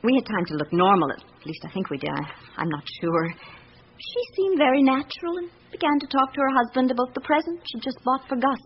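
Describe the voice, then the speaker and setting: A candid, observant secretary in a radio drama. Her British voice swings widely in melody while she confides in a measured, articulate way. The recording is dull and muffled, with a light hiss behind her. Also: high voice, sing-song. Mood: confiding, observant.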